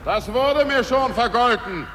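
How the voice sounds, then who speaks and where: An actor playing a humble, remorseful wanderer, declaiming in a 1950s German radio drama. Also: male voice, very high-pitched, animated, a little slow, pushed and bright, exaggerated voice. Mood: wary, remorseful. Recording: distant echoey hall, clear noise.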